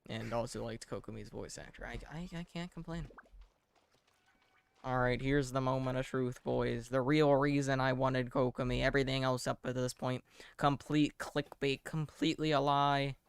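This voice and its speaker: A man giving casual live video game commentary with a dry, deadpan, self-deprecating manner. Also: medium pitch, normal speed, gravelly, clear, resonant tone.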